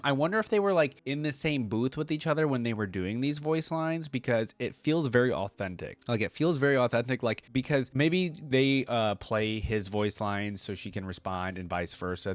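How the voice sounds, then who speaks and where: A man's voice: fairly low pitch, no echo. A man hosting a reaction video, giving casual, conversational commentary that rambles along.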